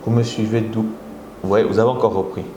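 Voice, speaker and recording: A Congolese man with a Congolese French accent hosting a live motivational talk, coaxing his viewers and often jumping into high exclamations. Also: fairly quick, dull audio. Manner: animated, coaxing audience, rising into high exclamations. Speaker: motivational speaker and live host. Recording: echoey room with audible background noise.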